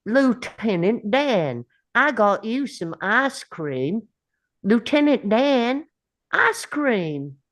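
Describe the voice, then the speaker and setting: A woman playing a slow, simple, earnest young Southern soldier man in a script table read, speaking plainly. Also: a little high, very animated, fairly bright tone, full character voice. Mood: eager.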